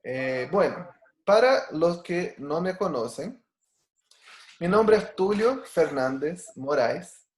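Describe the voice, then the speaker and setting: A man's voice: a little high, lively intonation, takes it slowly, roomy sound. Brazilian man teaching an online Bible class. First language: Portuguese. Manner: earnest, with frequent fillers and restarts. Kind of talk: online class over video call.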